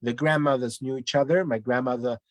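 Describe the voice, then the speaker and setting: A man from Denmark talks at an ordinary pitch, circling back on himself with restarts and fillers as he tells his family's history. The sound is close and dry, with no room around it. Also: steady tone, a little fast, dry recording. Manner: rambling, with many restarts and fillers. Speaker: guest. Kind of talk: casual online interview.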